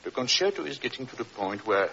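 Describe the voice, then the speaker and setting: An actor playing a controlling husband in an old radio drama, condescending and insinuating, with false concern and quiet menace. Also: male voice, mid-range voice, very animated, fast, creaky, exaggerated voice. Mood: smoothly patronizing. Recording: dull, noisy vintage radio recording.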